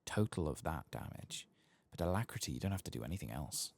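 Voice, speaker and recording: A soft-spoken man hosting an ASMR gaming video, thinking aloud casually in a hushed voice as he plays. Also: a bass voice, animated, fast, vocal fry.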